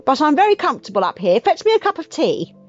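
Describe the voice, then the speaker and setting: Storybook grandma: old, screechy, imperious grouch, high-pitched and wildly animated, shouting her lines over music. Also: fast talker, highs cut off. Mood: scornful, bossy. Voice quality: screechy, shrill.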